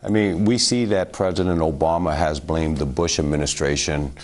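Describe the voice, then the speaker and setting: A man's voice: rumbling low, steady tone, moderate pace. A man hosting a studio talk show, asking questions hesitantly with ums and uhs.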